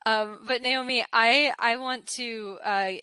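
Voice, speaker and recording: A woman's voice: fairly high pitch, steady tone, takes it slowly, sharp tone, clear, resonant tone, dry recording. A woman hosting a virtual panel, affirming her guests enthusiastically and leading into questions. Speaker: moderator, host. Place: indoors, virtual event call.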